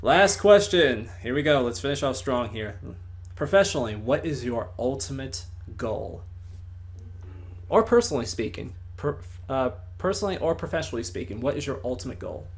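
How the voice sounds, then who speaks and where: Wordy, animated male interviewer. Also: medium pitch, moderate pace. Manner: wordy, animated, swears freely. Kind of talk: casual online interview.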